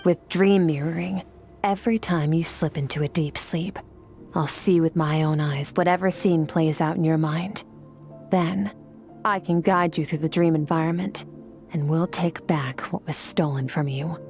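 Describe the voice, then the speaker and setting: A woman's voice: low voice, steady tone, moderate pace, darkish tone, faint music behind. A woman voicing a cool-headed, aloof mentor in a video game, who speaks in a curt, businesslike way. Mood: explaining.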